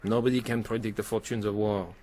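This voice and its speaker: An actor playing a genial, teasing French army captain in a radio drama, with a French accent. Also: male voice, fairly low pitch, fairly even intonation, a little fast, dark tone, a little hiss or hum, lightly acted. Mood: amused exasperation.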